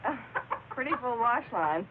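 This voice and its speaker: A woman's voice: on the high side, lively intonation, unhurried, background noise. A woman playing a cool, polite fugitive in an old-time radio drama. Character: cool, polite woman on the run, confessed killer. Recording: tinny old radio recording.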